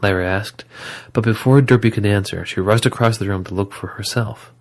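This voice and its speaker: Low-voiced male story narrator. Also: steady tone, brisk.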